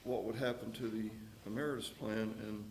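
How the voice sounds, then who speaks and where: Man chairing a county meeting: folksy, halting, in a roomy, slightly noisy recording. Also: on the low side, fairly even intonation, a little mellow. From Texas. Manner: halting, many fillers and restarts, folksy. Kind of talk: public meeting remarks. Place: county commissioners court room. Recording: echoey room mic with faint noise.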